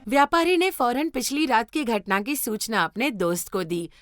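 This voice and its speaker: A woman's voice: on the high side, sing-song, moderate pace, soft background music. A woman narrating a scripted moral story.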